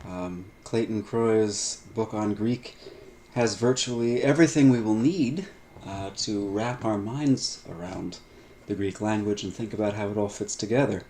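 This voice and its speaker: A middle-aged man giving a recorded online lecture on biblical Greek, measured and full of "uh" fillers, stretching out key terms. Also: fairly low pitch, dark tone, slight background noise. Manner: measured, frequent "uh" fillers, drawn-out emphasis on terms. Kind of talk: recorded online lecture over textbook pages.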